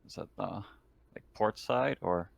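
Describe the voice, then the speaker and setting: A man's voice: fairly low pitch, takes it slowly, creaky, no room sound. A man talking casually as a player in a tabletop roleplaying game.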